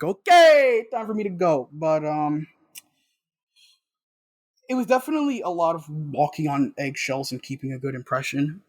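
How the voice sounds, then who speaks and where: A young man from the South Bronx in New York telling personal stories casually as an interview guest, in an animated, slangy way. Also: fairly high pitch, no room sound. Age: young adult. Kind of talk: casual conversational storytelling.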